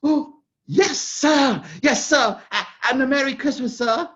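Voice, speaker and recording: A man voicing an eager young street boy who answers politely and quickly, on a dull lo-fi recording. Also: very high voice, fairly bright tone, voice pushed up.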